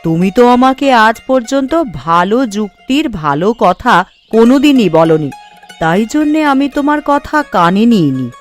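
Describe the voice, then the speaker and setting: A woman's voice: fairly high pitch, normal speed, soft background music. A woman playing a kind-hearted but firm village housewife in a cartoon. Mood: firm, chiding. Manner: expressive, chiding. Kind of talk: Bengali fairy-tale cartoon dialogue.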